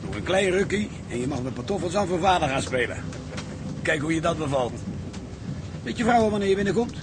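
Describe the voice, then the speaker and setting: An actor playing a hearty, teasing and reassuring shipmate at sea in a radio drama. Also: male voice, a little high, expressive, fairly quick, background noise, close to an everyday voice.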